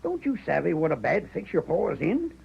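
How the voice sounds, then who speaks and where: An actor playing a cautious, cowardly small rancher in a 1940s Western radio drama, with a raspy, folksy American drawl. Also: male voice, high-pitched, wildly swooping pitch, a little fast, a little mellow, exaggerated voice. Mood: pleading, wary. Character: cautious, cowardly small rancher, worried old-time Western father figure. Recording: muffled old 1940s radio recording.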